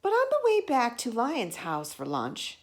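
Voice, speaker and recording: A woman storyteller with a fairly high voice reads a picture book aloud to children. Her delivery is slow and very animated, in an expressive style that invites listeners to look along. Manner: expressive, asks listeners questions about pictures.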